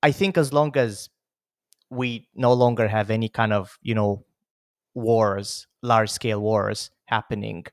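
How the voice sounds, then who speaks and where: A man in his thirties from Romania hosts a podcast in a loose, chatty way, filling his talk with "like" and "you know." His voice sits low, and the recording is dry and close. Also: fairly even intonation, measured pace, dry recording. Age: 30s. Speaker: podcast host. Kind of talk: casual conversation.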